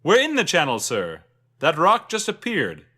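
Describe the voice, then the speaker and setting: A man voicing a deferential, alarmed boatman calling out loudly and urgently to his captain in a fantasy story. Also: on the high side, wildly swooping pitch, a little slow, pitched above the performer's own voice, exaggerated voice.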